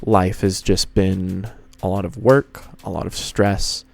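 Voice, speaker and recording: A man's voice: sits low, little pitch movement, takes it slowly, vocal fry, darkish tone. A man hosting a fan fiction reading and narrating in a casual style. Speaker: host and fan fiction reader.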